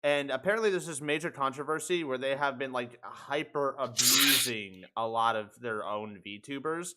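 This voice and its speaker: Bright-voiced man hosting, rambling and sweary. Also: medium pitch, moderate pace. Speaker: host of a podcast livestream. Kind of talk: casual chat.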